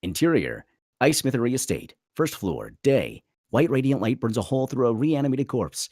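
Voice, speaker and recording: A man's voice: medium pitch, very animated, moderate pace, darkish tone, no room sound, clean studio sound. A man narrating a screenplay dramatically at a table read.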